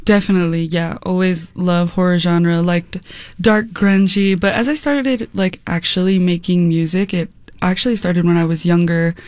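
A woman's voice: lowish voice, fairly even intonation, moderate pace, darkish tone, dry recording. A young woman with an American accent chatting casually as a musician guest in an interview, giggly and informal. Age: young adult. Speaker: musician guest, singer and rapper.

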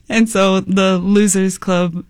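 A woman's voice: mid-range voice, fairly even intonation, slow pace, no room sound. A young woman from the United States, a musician, answering interview questions casually with lots of fillers and laughs. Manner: giggly, filler-heavy, laughs often. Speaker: musician guest.

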